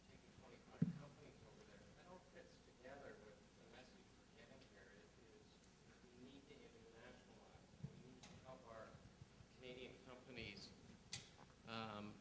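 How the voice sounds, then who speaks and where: A man's voice: mid-range voice, very noisy. A man in the audience making a long, earnest, rambling comment at a conference Q&A. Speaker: audience member asking a question.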